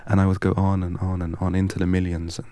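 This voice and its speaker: A man from England, a guest in an interview, telling his life story in a measured, precise and earnest way. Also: deep voice, completely flat delivery, dark tone, no echo. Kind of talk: reflective personal storytelling.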